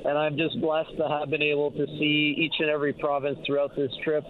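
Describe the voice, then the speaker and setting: A man in his late thirties from Canada, calling into a radio show, earnest and grateful, with halting fillers. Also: fairly high pitch, monotone. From Canada, Ottawa and Montreal. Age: 30s. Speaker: caller. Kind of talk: casual call-in chat. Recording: tinny phone line.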